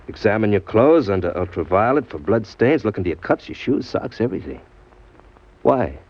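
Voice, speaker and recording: A man's voice: on the low side, big pitch swings, moderate pace. A man playing a cocky, sly small-time crook in an old radio crime drama, talking and narrating in tough, wisecracking slang. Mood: cool, knowing. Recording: dull vintage radio recording, music behind.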